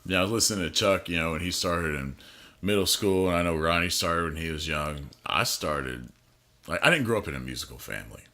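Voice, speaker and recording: Man, late 30s, podcast guest; very low, animated voice, dry teasing humor. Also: a little fast. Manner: dry teasing quips, laughs easily. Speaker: podcast guest, bass player. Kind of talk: casual chat.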